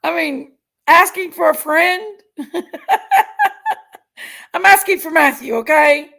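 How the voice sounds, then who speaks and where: A woman's voice: extremely high pitch, slow, sharp tone. A woman, chatting frankly and giggling as she hosts a live stream and reads viewer comments. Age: 40s. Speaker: livestream host. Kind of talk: casual chat reading viewer comments.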